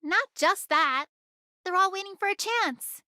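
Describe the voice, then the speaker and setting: A woman's voice: extremely high pitch, lively intonation, a little slow, bright, forceful tone, no echo, studio quality, heavily acted. A woman voicing a feisty, proud but caring young female smuggler in an anime video game, brash and theatrical. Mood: earnest, wistful.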